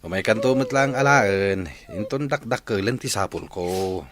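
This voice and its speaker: A man's voice: lowish voice, moderate pace, very noisy, close to an everyday voice. A man playing an evasive, jobless father in an Ilocano village radio drama, speaking in an emphatic way. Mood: firm.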